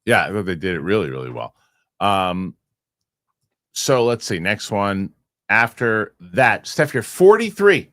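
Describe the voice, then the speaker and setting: Lively low-voiced male podcast host. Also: unhurried, dry recording, studio quality. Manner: animated, rambling, self-correcting with repeated starts. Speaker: show host. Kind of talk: casual podcast chat.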